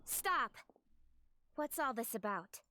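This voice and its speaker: Poised young noblewoman, higher voice, formal, self-assured, video game dialogue, music behind. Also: big pitch swings, slow. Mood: questioning. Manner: composed, formal.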